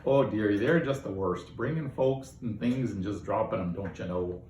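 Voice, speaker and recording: A man's voice: on the low side, normal speed, a little mellow, reverberant, far from the mic, slight background noise, natural voice, poor audio. A man voicing a chatty, folksy old alien woman who rambles and calls people dearie. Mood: gossipy.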